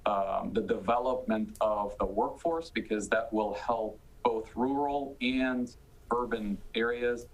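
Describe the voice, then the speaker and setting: A man giving unscripted remarks as a medical panelist, in measured and hesitant phrases. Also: lowish voice, rough recording. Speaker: panelist, chief medical officer.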